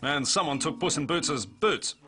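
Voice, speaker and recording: Cocky dumb cartoon cop, guy voicing him, bouncy and animated, music under. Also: fairly high pitch, normal speed. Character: dim, cocky male cartoon detective. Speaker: detective.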